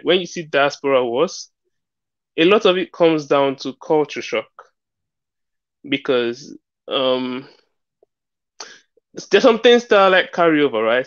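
A man's voice: medium pitch, a bit bright, no echo. A young man with a Nigerian accent, calling in to a livestream and explaining things in a casual, chatty way. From southern Nigeria. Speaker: caller on a livestream. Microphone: headset boom mic. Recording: slightly muffled video-call headset audio.

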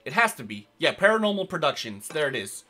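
Brief: young male let's-play host, hoarse and raspy, high and bright, chatty, faint game music behind. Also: sing-song, quick pace. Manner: chatty, rambling, lots of fillers. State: hoarse from yelling. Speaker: gaming channel host. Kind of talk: casual let's play commentary.

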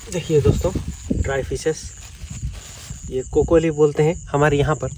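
A man's voice: fairly high pitch, steady tone, normal speed. A man in his thirties talking casually to viewers while camping alone by a lake at night, explaining what he is doing as he works. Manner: chatty, explains as he works, addresses viewers as friends. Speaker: solo camping vlogger. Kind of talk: casual vlog narration to viewers. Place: outdoors by a lakeshore at night, thunderstorm. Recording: outdoor camera audio with wind and insect noise.

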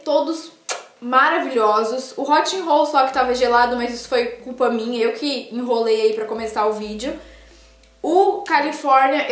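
High-voiced young woman gushing over food. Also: reverberant. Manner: gushing, animated, addresses viewers directly. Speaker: food vlogger. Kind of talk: casual food tasting vlog. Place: small indoor room by a sofa.

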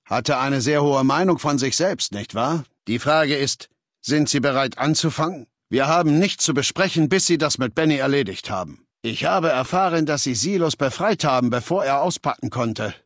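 Imperious warlord, video game dialogue, mid-pitched, commanding, contemptuous, measured, close dry recording. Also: male voice, lively intonation, no room sound. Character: ruthless, imperious male leader of a slaver legion. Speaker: video game character voice actor.

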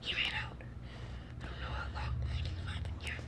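A woman vlogging playfully and often whispering hushed asides to her viewers. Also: fast. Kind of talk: playful pretend-play vlog.

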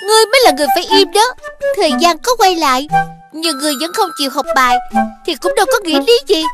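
Tiny bossy cartoon pen, really high voice, scolding, loud music. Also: female voice, expressive, fast. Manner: preachy, commanding. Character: bossy, scolding little talking magic pen. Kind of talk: animated children's cartoon acting.

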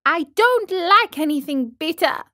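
A woman's voice: very high-pitched, lively intonation, unhurried, bright, forceful tone, voice pushed up, full character voice. A woman voicing a petulant, stubborn little wooden puppet boy in a storybook reading, whiny and dramatic. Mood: sulky, demanding.

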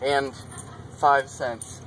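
A young man talking casually and haltingly, stalling as he orders like a customer from inside a car. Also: mid-range voice, little pitch movement, a bit bright, a little hiss or hum, poor audio. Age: young adult. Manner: hesitant, stalling. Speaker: prankster customer at a drive-thru. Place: inside a car at a drive-thru. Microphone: handheld camera inside the car.

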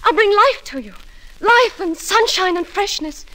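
An actress playing an unhappy, lovesick young wife in a 1940s radio drama, emotional and theatrical. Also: female voice, very high-pitched, expressive, unhurried, sharp tone, exaggerated voice, rough recording. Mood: pleading.

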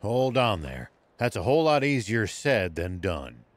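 An actor playing a stern, shrewd old sheriff in a video game, speaking in a measured, musing way. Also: male voice, lowish voice, very animated. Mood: pondering, guarded. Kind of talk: video game cutscene acting.

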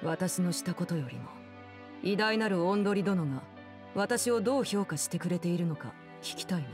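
Actress as low, cool commanding woman. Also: animated, music in the background, close to an everyday voice. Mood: calm, guarded. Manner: composed, measured, formal and authoritative. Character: cool, commanding woman, aloof diplomat and stern guardian. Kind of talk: Japanese anime-game voice acting.

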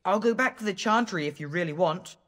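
A woman's voice: fairly low pitch, lively intonation, a little fast, lightly acted. A woman plays a young boy from a fantasy game village. He is frightened but trying to be brave. He speaks hesitantly, with earnest politeness. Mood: timid, wary. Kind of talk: video game character dialogue.

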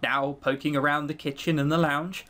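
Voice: medium pitch, level tone, moderate pace, fairly bright tone. A let's player reading a visual novel aloud, with theatrical delivery and teasing, exclaiming asides. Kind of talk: game narration with casual commentary.

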